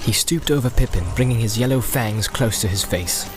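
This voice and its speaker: A man's voice: mid-range voice, moderate pace, over loud music. A man narrating a fantasy audiobook as a scripted storyteller.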